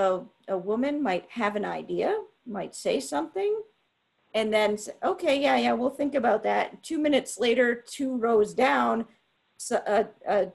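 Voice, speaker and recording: A woman scientist speaking frankly on a webinar panel, deliberate and restarting often. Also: medium pitch, creaky, a little mellow, breathy edge. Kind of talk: panel discussion answer. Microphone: webinar video call.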